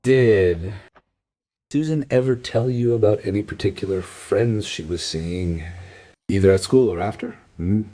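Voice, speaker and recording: An actor playing a dry, probing detective inspector in a crime radio play, speaking in a measured, questioning way. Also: male voice, lowish voice, mellow. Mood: polite, sober.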